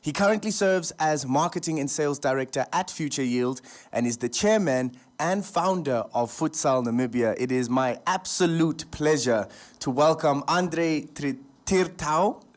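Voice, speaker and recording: A man's voice: a little high, lively intonation, moderate pace. A male radio host interviewing a guest in a studio, friendly and chatty, with fillers and stumbles.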